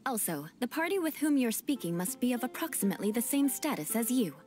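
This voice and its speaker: A woman's voice: fairly high pitch, sing-song, fast talker, a little mellow. An actress voicing a proud young noblewoman in a fantasy game who speaks in stiff, formal aristocratic phrasing. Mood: lecturing. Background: game music.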